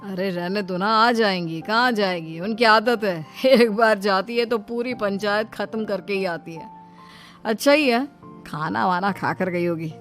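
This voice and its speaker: A woman's voice: medium pitch, measured pace, a bit bright, close to an everyday voice. Woman voicing a dutiful, reasonable grown son. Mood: dismissive. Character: dutiful, reasonable thirty-year-old son. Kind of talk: Hindi moral story dramatization. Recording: music behind the voice.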